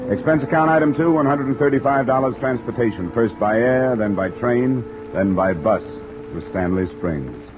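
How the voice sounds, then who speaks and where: An actor playing a cool, wry American investigator in a 1950s radio detective drama, speaking in a dry, clipped, understated way. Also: male voice, medium pitch, expressive, normal speed, over loud music, natural voice. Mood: matter-of-fact narrating. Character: cool, wry freelance insurance investigator, a man. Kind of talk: 1950s American radio detective acting and first-person narration. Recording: muffled vintage radio recording, rain behind.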